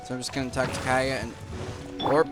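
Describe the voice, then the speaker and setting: Casual male gamer with a higher-pitched voice. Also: flat delivery, a little fast. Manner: rambling, thinking aloud. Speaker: gaming video host. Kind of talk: casual gameplay commentary. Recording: game music behind the voice. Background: video game music.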